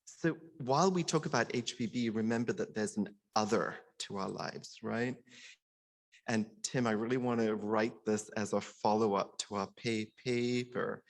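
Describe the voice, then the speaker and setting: A man's voice: on the low side, gravelly. A middle-aged man, a surgeon, giving a personal, informal conference speech in an earnest manner, sometimes stammering and repeating words.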